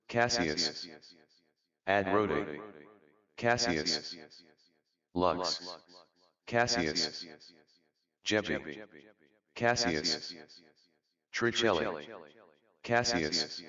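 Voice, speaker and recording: A man voicing a solemn sci-fi announcer who declares verdicts slowly and formally. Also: sits low, pushed and bright, pitched below the performer's own voice, heavily acted. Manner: slow, formal, ceremonial. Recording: distant, muffled, echoing processed sound.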